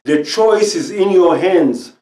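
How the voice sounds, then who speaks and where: A man in his fifties from Israel, a native Hebrew speaker, giving an earnest scripted pitch about quitting smoking in short phrases. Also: takes it slowly, warm tone, roomy sound, far from the mic, low-quality recording. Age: 50s. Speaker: natural healing expert and quit-smoking coach.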